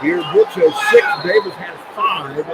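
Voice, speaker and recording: A man's voice: high-pitched, huge pitch swings, measured pace, vocal fry. A man doing live radio play-by-play of a basketball game in clipped running phrases, with punchy exclamations when shots score. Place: courtside in a large indoor basketball arena. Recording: very loud arena crowd and noise behind. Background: roaring crowd, arena noise.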